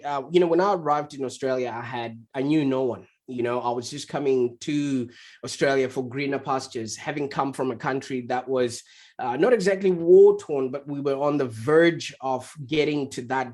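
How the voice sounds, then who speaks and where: A man from Zimbabwe, chatty and animated, telling his story as a guest on a call interview. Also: medium pitch. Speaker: guest, agency founder. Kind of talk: conversational interview over a call. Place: remote call.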